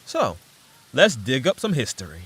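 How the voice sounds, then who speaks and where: Lively, curious young man, played by a male actor. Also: mid-range voice, moderate pace, noisy background. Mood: thoughtful, intrigued. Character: curious young son of a detective, easygoing. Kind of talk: audio drama acting.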